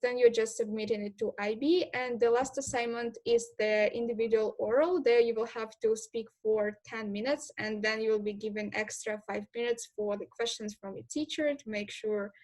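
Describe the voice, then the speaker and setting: A young woman student explaining exam assignments to future students in a steady, methodical way. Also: on the high side, normal speed, few pauses. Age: young adult. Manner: steady, methodical, with occasional fillers. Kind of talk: informal explainer talk to prospective students.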